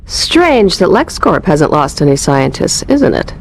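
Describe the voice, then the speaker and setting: A woman playing a keen, sardonic newspaper reporter in a video game, brisk and dry. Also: sits low, sing-song, a little hiss or hum, heavily acted. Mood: brisk, concerned. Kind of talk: video game cutscene acting.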